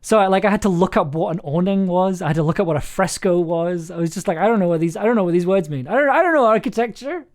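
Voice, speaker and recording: A man's voice: sits high, fast talker, hardly any pauses, a little mellow. A man hosting a livestream as writer and director, giving casual, encouraging feedback in a hesitant, rambling way. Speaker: host, writer-director of audio drama.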